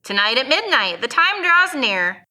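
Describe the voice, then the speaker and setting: A woman playing a solemn, aging Christmas spirit near death, grave and drawn-out, in an audio drama. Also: high voice, big pitch swings, pushed and bright, faint music behind, full character voice. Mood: solemn, fading.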